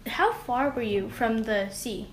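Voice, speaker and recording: A woman's voice: a little high, expressive, unhurried. A young woman asking short, curious questions as a student interviewer.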